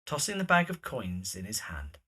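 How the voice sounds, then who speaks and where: Male narrator for scripted drama: forceful, raspy, mid-pitched, reads scene directions. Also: very animated, moderate pace.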